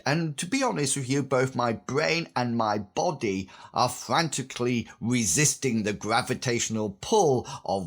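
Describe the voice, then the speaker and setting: A man in his fifties hosting a solo podcast, husky from a recent sore throat, chatty with self-deprecating asides. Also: medium pitch. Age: 50s. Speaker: podcast host. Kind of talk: scripted solo podcast monologue.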